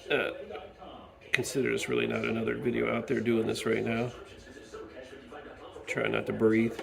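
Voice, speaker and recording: A man's voice: mid-range voice, monotone, moderate pace, creaky, crowd noise. A man chatting casually to his son while repairing a kids' electric quad in his home garage, joking and talking to himself as he works. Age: adult father. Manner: chatty, jokey, talks to himself while working.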